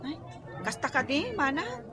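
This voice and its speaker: A woman's voice: high voice, very animated, unhurried, sharp tone, exaggerated voice. A woman playing an exasperated young wife in a radio comedy-drama, speaking with an Ilocano accent. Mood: meek.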